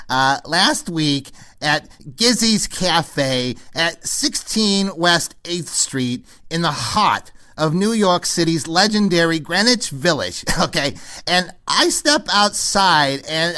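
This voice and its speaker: A man playing a smug, whiny, self-promoting New York arts snob who co-hosts a comedy radio show with halting, repetitive exasperation. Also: high-pitched, bright, forceful tone, full character voice. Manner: halting, repetitive, chuckles through exasperated complaints. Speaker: radio co-host. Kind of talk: comedy impression of a radio talk host.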